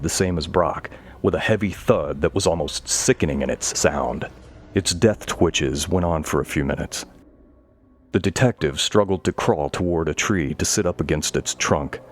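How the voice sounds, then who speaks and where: A man tells a horror tale in a very low voice. His delivery is measured and dramatic, with each line read as scripted prose. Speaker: storyteller. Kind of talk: scripted horror story narration.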